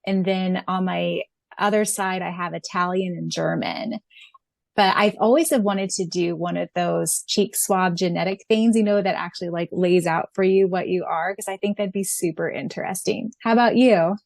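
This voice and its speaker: A woman's voice: fairly low pitch, steady tone, normal speed, vocal fry, darkish tone, no room sound. A woman with an American accent co-hosting a podcast in a casual, conversational style. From United States. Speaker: podcast co-host.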